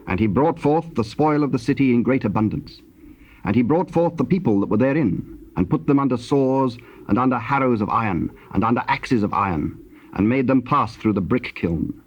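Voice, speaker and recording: Husky male narrator, dull tape sound. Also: medium pitch, lively intonation, normal speed. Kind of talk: solemn scripted scripture narration.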